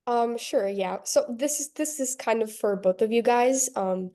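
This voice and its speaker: A woman's voice: a little high, measured pace, mellow. An eighteen-year-old woman asking a panel a question, hesitant and full of "um"s and "like"s. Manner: hesitant, many "um"s and "like"s. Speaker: audience member asking questions.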